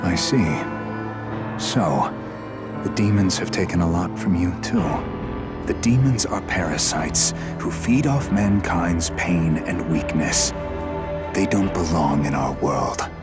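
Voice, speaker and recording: A man's voice: low voice, expressive, slow pace. A man playing a ruthless, zealous human warrior in a video game, contemptuous and commanding, with music behind him. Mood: grave scorn. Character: ruthless, zealous human man who despises demons and weakness. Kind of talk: dramatic video game voice acting. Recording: loud game music underneath.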